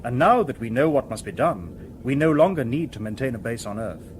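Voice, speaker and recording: An actor in a radio drama playing a polite, logical humanoid robot detective who speaks in formal, precise phrases. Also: male voice, mid-range voice, big pitch swings, slight background noise, close to an everyday voice. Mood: calm, explanatory. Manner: formal, precise, measured wording.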